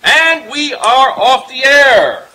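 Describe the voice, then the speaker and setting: A man's voice: extremely high pitch, animated, slow pace. A man announcing an old-time radio show with a formal showman's delivery, on an outdoor stage recorded by a distant camera. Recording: distant camera, music and loud audience.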